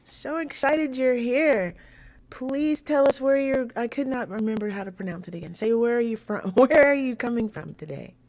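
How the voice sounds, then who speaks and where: A woman hosting an interview show, asking questions in an excitable, bubbly way. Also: fairly high pitch, normal speed. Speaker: show host. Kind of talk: casual interview chat.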